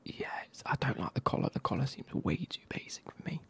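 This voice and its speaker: A man, reviewing football kits in a soft, casual whisper with wry asides. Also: brisk.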